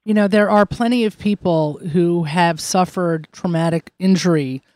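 A man co-hosting an interview who asks questions in a warm, encouraging way and laughs easily. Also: high-pitched, moderate pace, no room sound. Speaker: podcast co-host. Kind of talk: interviewer, conversational.

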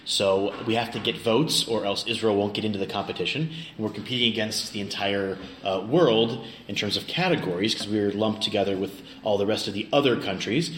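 A man's voice: lowish voice, a little fast, few pauses, fairly bright tone. A middle-aged American man from Kansas chatting casually and jokily as an interview guest. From Kansas, United States. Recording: roomy, clearly noisy location recording.

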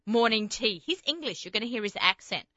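A woman's voice: on the high side, lively intonation, fairly quick, pushed and bright, dry recording, highs cut off. A woman with an Australian accent tinged with American, hosting a radio show in a chatty, rambling way. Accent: Australian, slightly Americanized. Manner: chatty, rambling, many fillers. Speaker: radio host. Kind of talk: casual radio chat.

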